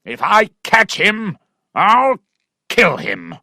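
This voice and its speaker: A man reading a storybook while voicing a hot-tempered country squire who shouts and curses at his neighbour. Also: high voice, huge pitch swings, extremely slow, gravelly, dry recording, studio quality, pitched above the performer's own voice, full character voice. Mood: raging. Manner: shouting, cursing, furious.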